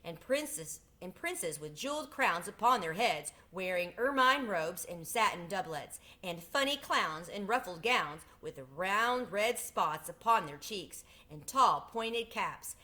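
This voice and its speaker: A woman's voice: medium pitch, expressive, a little slow, few pauses, bright, forceful tone. A woman reading a children's storybook aloud as a storyteller.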